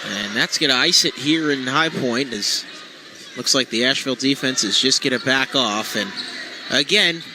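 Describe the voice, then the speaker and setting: A man's voice: mid-range voice, normal speed. A man giving analytical color commentary at a live basketball game, explaining plays in detail. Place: courtside in a basketball arena. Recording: noisy live arena broadcast.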